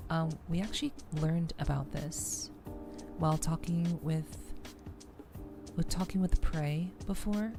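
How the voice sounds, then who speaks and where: A woman hosting a soft-spoken game livestream, greeting gently and often repeating her phrases. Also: low-pitched, unhurried. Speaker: livestreamer host. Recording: music behind the voice. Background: music.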